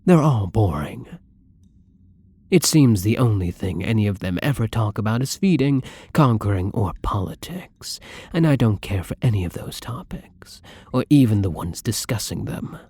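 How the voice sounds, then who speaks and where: A man playing a gentle, lonely, tormented vampire in an intimate ASMR roleplay, speaking softly and hesitantly in a breathy, brooding way, often near a whisper. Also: fairly low pitch, big pitch swings, no room sound, exaggerated voice. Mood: lonely, weary. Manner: slow, hesitant, brooding, tender. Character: melancholy young male vampire, gentle, lonely, tormented, once human.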